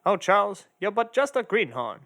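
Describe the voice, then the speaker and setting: A man playing a vain, hot-tempered, hard-drinking detective with a loud, pompous, theatrical delivery in an adventure parody. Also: sits high, sing-song, normal speed, heavily acted. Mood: smug. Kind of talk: old radio adventure parody acting.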